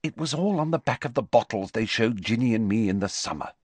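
A man voicing a bookish, exasperated teenage girl in a storybook reading, with a brisk, disapproving, explanatory manner. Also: medium pitch, big pitch swings, fairly bright tone, husky, no room sound, voice pushed up, full character voice. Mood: cool, matter-of-fact.

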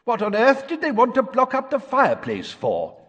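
A man's voice: extremely high pitch, huge pitch swings, moderate pace, a bit bright, no room sound, pitched above the performer's own voice, exaggerated voice. A man voicing an eager, scatterbrained middle-aged wizard father in an audiobook reading. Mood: excited.

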